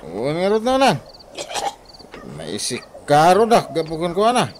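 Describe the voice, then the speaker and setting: An actor playing a loud, exasperated grown son in a radio comedy drama, muttering and calling out. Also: male voice, on the high side, huge pitch swings, unhurried, noisy background. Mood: grumbling.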